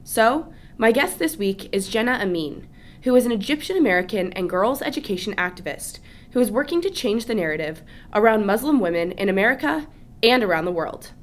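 A woman's voice: mid-range voice, animated, a little fast. Upbeat woman hosting a podcast. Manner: upbeat, eager. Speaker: podcast host. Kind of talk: scripted podcast intro and interview questions.

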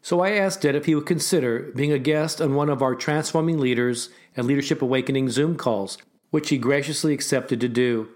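A man hosting a ministry podcast, giving an earnest, measured, prepared introduction and listing his points in series. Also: medium pitch. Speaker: podcast host.

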